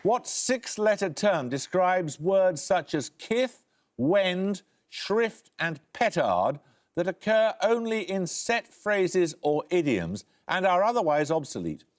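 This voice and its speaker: A man hosting a quiz show, reading questions crisply and briskly in a studio. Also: sits high, very animated. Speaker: quizmaster. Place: television studio.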